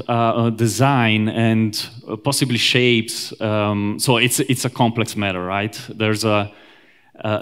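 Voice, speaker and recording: A man with an Italian accent speaks on stage at a tech conference, his voice sitting fairly low. He talks in a halting way, full of "uh" and "um" and restarted phrases. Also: a bit bright. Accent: Italian (Roman). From Italy. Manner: halting, many fillers and restarts. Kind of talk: technical conference talk.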